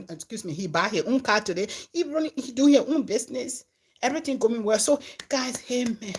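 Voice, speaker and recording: Liberian lady comedian telling her followers a story, kinda high voice, gets loud then goes real quiet. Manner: animated, swinging from loud to hushed. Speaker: comedian and content creator.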